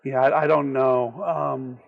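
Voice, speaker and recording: A man talks casually about films as a podcast guest, explaining details like someone who knows the subject. His pitch is average and the recording is dry and close. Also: fairly even intonation, takes it slowly, creaky, no echo, phone-quality sound. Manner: knowledgeable, explanatory. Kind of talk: casual film discussion.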